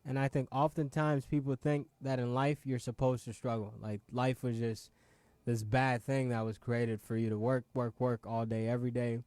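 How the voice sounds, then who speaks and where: A man's voice: medium pitch, level tone, normal speed, darkish tone, no room sound. A man in his late twenties, originally from Ghana, hosting his own show and giving a motivational talk, pressing viewers with repeated rhetorical questions and frequent "right?" asides. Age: 20s. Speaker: show host.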